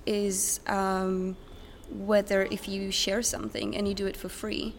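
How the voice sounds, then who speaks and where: A young woman speaks as an interview guest. Her voice is medium in pitch, and she phrases things thoughtfully, pausing and searching for words. A faint noise from a conference venue sits in the background. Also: little pitch movement, creaky. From Czech Republic. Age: young adult. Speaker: interview guest, recent graduate. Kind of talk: conversational interview answers. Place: conference center. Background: ambient noise, distant sound check.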